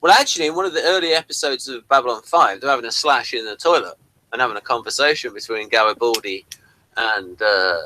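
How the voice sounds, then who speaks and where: A man talks through a casual review as co-host. His voice is bright and forward, and his delivery is hesitant and meandering, circling around each point. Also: mid-range voice, lively intonation, fast, low-quality recording. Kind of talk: casual chat reviewing audio drama.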